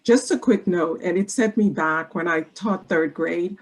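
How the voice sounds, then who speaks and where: A woman's voice: fairly low pitch, unhurried, low-quality recording. A woman, a native Haitian Creole speaker, giving an effusive, chatty comment over a video call. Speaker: former third-grade teacher, meeting attendee.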